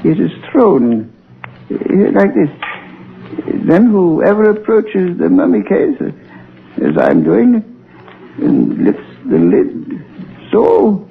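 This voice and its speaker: Crazy old watchman, slow n creaky, servile but spiteful, muffled old 30s radio drama. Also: high voice, heavily acted. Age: older. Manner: halting, gloating, cackling. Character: crazed vengeful old watchman, cackling and servile. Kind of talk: 1930s radio thriller acting. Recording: muffled 1930s radio recording.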